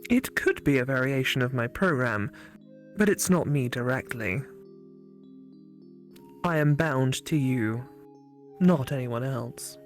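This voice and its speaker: A woman voicing a calm, devoted, polite female AI program in a visual novel reading. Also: a bass voice, takes it slowly, long pauses, faint music behind, heavily acted.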